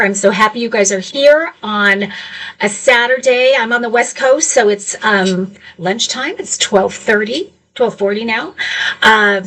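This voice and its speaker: A woman's voice: medium pitch, a bit bright, recorded from a distance, low-quality recording. A woman in her fifties hosting a live shopping stream from her home office, chatting upbeat and directly to her viewers. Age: 50s.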